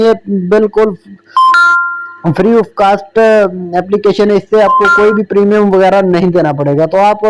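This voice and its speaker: Guy doing an app tutorial, pretty high voice, informal how-to talk. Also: a little mellow, rough recording. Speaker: tutorial video host.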